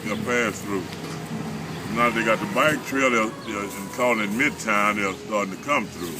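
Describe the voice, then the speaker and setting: A man in his fifties answering interview questions in a halting, thoughtful way. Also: lowish voice, background noise. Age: 50s. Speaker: neighborhood resident. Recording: distant voice over music bed.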